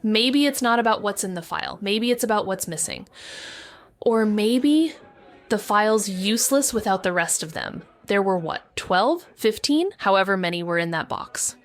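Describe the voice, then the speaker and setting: A woman's voice: medium pitch, lively intonation, normal speed, lightly acted. A woman playing a dry, sensible young amateur detective, talking analytically in a neo-noir audio drama. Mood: thoughtful. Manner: matter-of-fact, analytical.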